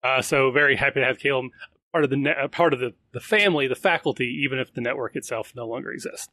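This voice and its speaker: A man's voice: mid-range voice, fast, bright, forceful tone. A man hosting a live podcast, upbeat and prone to repeating words as he introduces guests. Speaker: podcast host.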